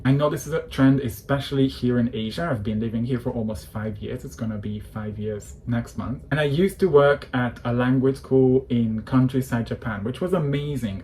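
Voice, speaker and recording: Man in his thirties, coach delivering a fired-up persuasive rant, mellow tone, faint hiss underneath. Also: mid-range voice, rough recording. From Hungary. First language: Hungarian. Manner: passionate, persuasive, opinionated. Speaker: English coach. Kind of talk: direct-to-camera talk.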